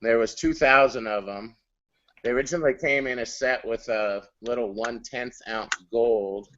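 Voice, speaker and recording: A man's voice: mid-range voice, moderate pace, background noise. A man chatting casually on a video call, explaining coins steadily and keeping the group in order. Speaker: hangout regular, coin seller. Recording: dull lo-fi online call audio.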